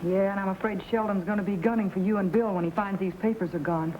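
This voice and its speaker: An actress playing a spirited young American woman who runs a café in an old western, polite but brisk. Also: mid-range voice, fairly even intonation, muffled recording, a little hiss or hum, natural voice. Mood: concerned. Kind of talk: old western film dialogue acting. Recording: vintage western soundtrack with music behind.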